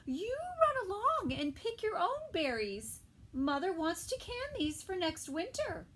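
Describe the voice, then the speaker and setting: A woman voicing a fussy young mother, speaking in a high, fluttery, exclamatory way. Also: big pitch swings, unhurried, a bit bright, heavily acted. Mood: busy. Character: fussy young mother, busy berry picker.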